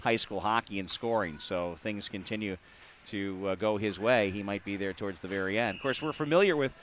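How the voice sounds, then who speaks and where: A man from the Upper Midwest hosting a local hockey talk show, chatty and quick to laugh, with many filler words. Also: fairly low pitch, a bit bright, a little hiss or hum. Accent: Upper Midwest American. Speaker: host. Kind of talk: casual sports talk.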